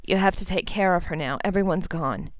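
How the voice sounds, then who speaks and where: A woman reader plays a wounded teenage hero in a fantasy story. His voice is weak and breathy, coming in short halting phrases, devoted to the last. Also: on the low side, dry recording, lightly acted. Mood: dying plea.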